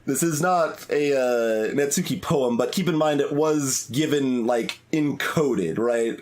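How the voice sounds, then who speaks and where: A man's voice: mid-range voice, slow. A young man giving commentary on a game, musing aloud with many fillers and restarts. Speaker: gaming YouTuber. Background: soft game music.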